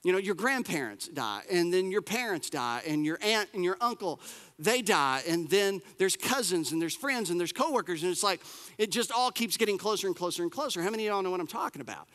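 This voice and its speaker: A man, preaching a sermon as pastor, lively and emphatic with repeated phrases and casual asides. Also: high-pitched, brisk, in a large hall. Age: 40s.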